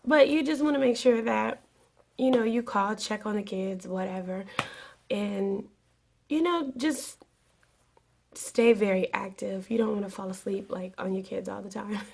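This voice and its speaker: A young woman with a raspy voice, getting over a cold, rambling earnestly through a casual advice vlog to her camera. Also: medium pitch, measured pace, darkish tone. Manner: rambling, earnest, with many fillers. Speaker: flight attendant vlogger.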